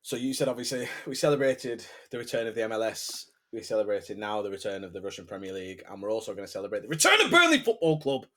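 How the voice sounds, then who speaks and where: Laughing, sweary man, lowish voice. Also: sing-song, fast talker, hardly any pauses, bright, forceful tone. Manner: jokey, swears often, laughs a lot. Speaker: podcast co-host. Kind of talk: casual banter.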